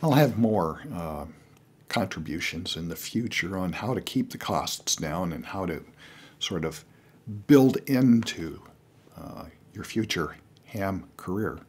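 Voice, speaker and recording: An older man giving casual, unscripted how-to advice to beginners as a hobbyist, in a halting, rambling way with frequent pauses. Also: sits low, animated, darkish tone, husky.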